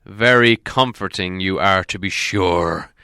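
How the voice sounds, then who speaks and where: A proud, gruff dwarf leader, acted by a male storybook reader, forceful and loud. He speaks bluntly with a growling manner, recorded close and dry. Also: deep voice, a little slow, no echo, full character voice. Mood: grumpy.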